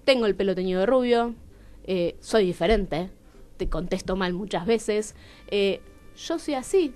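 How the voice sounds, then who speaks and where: A high-voiced woman with a Rioplatense Argentine accent tells stories in a conversational, talkative way. She is expressive and slips into quoted dialogue as she goes. Music plays faintly behind her. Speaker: playwright, radio show guest. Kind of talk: animated conversational storytelling.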